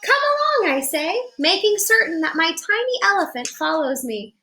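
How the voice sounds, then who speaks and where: A woman reading a picture book aloud to young children in an expressive storytelling way, speaking directly to her listeners. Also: very high-pitched, moderate pace, faint music behind.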